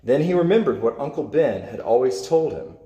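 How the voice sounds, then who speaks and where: A man reading a children's superhero story aloud, with expressive, dramatic emphasis. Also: mid-range voice, normal speed. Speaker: storybook reader. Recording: echoey, reverberant room.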